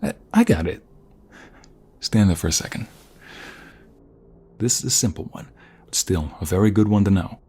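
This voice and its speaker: A man playing a protective, affectionate mobster fiancé in an intimate ASMR roleplay, with a husky, breathy voice that often drops toward a whisper. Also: on the low side, lively intonation, dark tone. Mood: playful, helpful. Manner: affectionate, teasing, slow and murmuring. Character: protective, tender mobster fiancé soothing his beloved.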